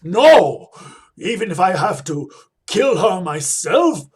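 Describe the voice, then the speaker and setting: An actor playing a grave, defiant vampire-hunting doctor with a husky voice and emphatic theatrical radio delivery. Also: male voice, high-pitched, very animated, slow, exaggerated voice. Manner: emphatic, dramatic. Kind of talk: theatrical radio play acting.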